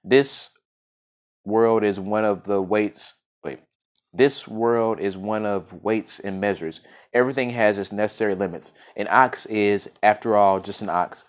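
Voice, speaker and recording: A wealthy, boastful merchant from a folk tale, played by a man reading aloud. His voice is on the low side, and he talks in an emphatic, dismissive, blustering way. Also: measured pace, lightly acted. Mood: dismissive, confident.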